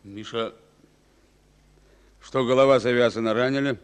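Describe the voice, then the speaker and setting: An actor playing a modest, reserved Soviet battalion captain in a war radio drama, answering in terse replies. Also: male voice, medium pitch, fairly even intonation, a little slow, clear, resonant tone, big-room sound, lightly acted. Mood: concerned.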